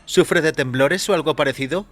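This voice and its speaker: Guy doing a curt waitress in an audio story, voice way up high, snappy, faint music. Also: normal speed, a bit bright. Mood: irritated, rude. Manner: snappish, put-upon. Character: brusque waitress, curt, then nervously apologetic.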